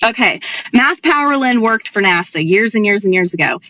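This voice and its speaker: Woman, chatty, lecturing, bright voice, dry close sound. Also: medium pitch, animated, normal speed, no room sound, poor audio. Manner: chatty, lecturing, calls listener hun. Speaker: panel member. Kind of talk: casual voice chat explanation.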